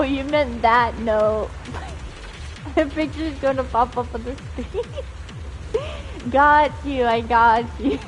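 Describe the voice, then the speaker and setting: A woman livestreaming a video game, chatting and reading text aloud in a giggly, animated way. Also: a little high, slight background noise, low-quality recording. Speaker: game streamer. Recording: game music behind the voice. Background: video game music.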